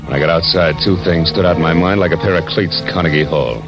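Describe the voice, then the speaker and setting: A man's voice: deep voice, fast. A man playing a tough, wisecracking American private detective in a hardboiled 1940s radio drama, clipped and sardonic. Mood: wry, grim. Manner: clipped, hard-boiled, sardonic. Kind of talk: 1940s radio acting, hardboiled first-person narration. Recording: dull vintage radio recording with music.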